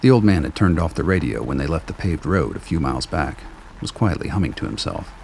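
A man with a gravelly, husky voice giving scripted dramatic narration for a radio play. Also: very deep voice, sing-song, a little fast, a little mellow, slight background noise.

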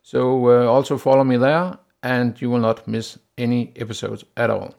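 Danish-accented man with a gravelly voice. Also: medium pitch, lo-fi sound, soft background music. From Denmark. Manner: steady, plain delivery with frequent "uh" pauses. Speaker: podcast host. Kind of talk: solo business podcast monologue.

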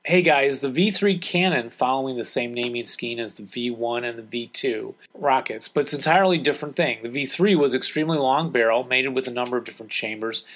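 A man's voice: mid-range voice, fairly quick, few pauses, fairly bright tone. Man casually explaining as podcast host. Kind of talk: casual chat, reading listener letters aloud.

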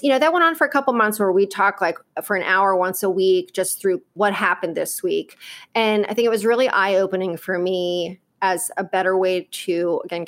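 A woman guest in a casual interview, speaking directly and candidly in quick bursts, often saying "like" and "you know". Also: on the low side. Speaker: guest, operations executive.

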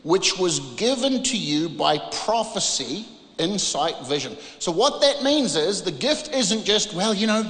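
A man from New Zealand with a New Zealand accent preaches an emphatic, informal charismatic sermon, using dramatic pauses and rhetorical questions. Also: high-pitched, big pitch swings, fairly bright tone. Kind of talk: charismatic sermon, part exhortation and part Bible reading. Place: large reverberant room, preaching to a congregation. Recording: echoey, reverberant large room.

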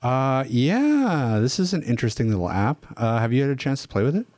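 A man's voice: medium pitch, sing-song, fairly quick, darkish tone, no echo. A man hosting a casual podcast, joking around with his co-hosts. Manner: joking, self-deprecating. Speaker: podcast host.

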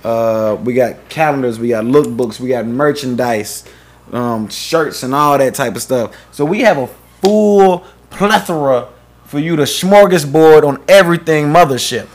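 A man's voice: medium pitch, lively intonation, a little slow. A man, co-hosting a podcast in loose, joking casual chat and plugging the show's projects. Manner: loose, joking, promo-style plugs. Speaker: podcast co-host.

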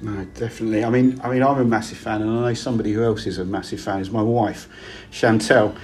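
A man interviewing a guest, reading out questions in a relaxed, somewhat hesitant way and often repeating his words. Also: lowish voice, quick pace, slight background noise.

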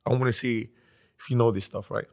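A man's voice: mid-range voice, fairly even intonation, fairly quick, gravelly, a little mellow. A man from Greece hosting a casual podcast interview in a playful way, often repeating himself and using fillers. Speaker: podcast host.

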